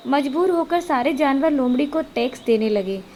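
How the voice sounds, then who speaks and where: Fairly high-voiced woman telling children's stories. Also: lively intonation, normal speed, darkish tone, a little hiss or hum. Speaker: storyteller. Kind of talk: scripted children's story narration.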